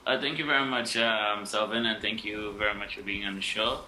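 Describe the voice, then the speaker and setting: A man's voice: on the low side, steady tone, a little fast, sharp tone. A man from Guyana speaking as an interview guest, hesitating with many fillers and restarts. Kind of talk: interview answers. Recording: echoey room with faint noise.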